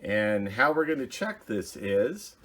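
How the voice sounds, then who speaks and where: A man giving a casual hands-on demonstration as host of a hobby video, talking in a halting, plainspoken, methodical way. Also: lowish voice, sing-song, a bit bright.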